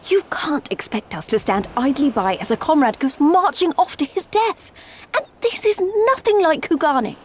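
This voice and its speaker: A high, very animated voice belongs to a loyal young female fighter. She speaks earnestly and with strong emotion, as in a game cutscene, over faint ambience. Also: moderate pace, slight background noise. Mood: protesting. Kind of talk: video game voice acting.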